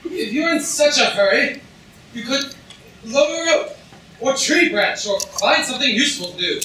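Man as mysterious hero, dry stage wit, very high pitch, piercing tone, noisy distant recording. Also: lively intonation, moderate pace, bright, forceful tone, full character voice. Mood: strained politeness. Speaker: student actor in a staged reading. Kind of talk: theatrical staged reading. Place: lecture room stage before an audience. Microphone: far camera in the audience. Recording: echoey room, distant camera, noisy.

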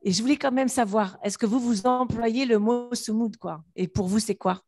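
A woman from a solidarity group asking a long question with many restarts and pauses. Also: a little high, a little mellow. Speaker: member of a solidarity committee.

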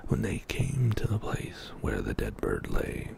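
A middle-aged man reading a bedtime story as ASMR in a soft, breathy whisper, hushed and soothing, close to the microphone in a small indoor studio. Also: low-pitched, wildly swooping pitch, background noise. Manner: slow, hushed, soothing narration.

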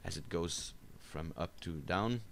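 A man giving an unscripted software screencast tutorial, explaining step by step in a halting, hesitant way. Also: sits low, creaky. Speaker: tutorial instructor. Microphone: voice-over for a screen recording.

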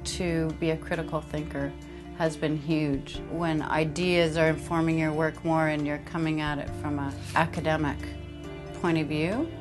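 A woman's voice: low-pitched, little pitch movement, moderate pace. A woman from Vancouver, an art student talking thoughtfully and with measure in an interview testimonial. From Vancouver, Canada. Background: music.

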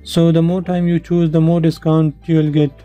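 Very dark-voiced man narrating a tutorial. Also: a little high, flat delivery, normal speed. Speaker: tutorial presenter. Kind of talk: instructional screen-share narration. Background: background music.

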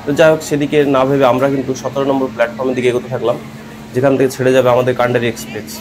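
A man's voice: medium pitch, flat delivery, rough recording. A man narrating a train journey as a travel vlogger, explaining sights like a tour guide. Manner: fast, informative tour-guide telling. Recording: music bed under voice-over. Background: guitar music.

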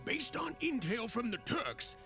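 A man playing a trigger-happy, blustering military commander with a gravelly voice in a comedic game parody. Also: extremely high pitch, big pitch swings, measured pace, exaggerated voice. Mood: eager, blustering. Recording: music playing behind the voice.

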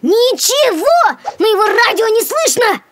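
An actor voicing an excitable young boy bear cub in a children's cartoon, with a theatrical, announcer-like delivery. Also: very high voice, measured pace, very noisy, exaggerated voice. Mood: excited.

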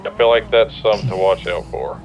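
A man's voice: lowish voice, steady tone, fast talker. A man playing a police marshal in an online roleplay, talking casually over the radio. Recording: muffled lo-fi radio, faint noise.

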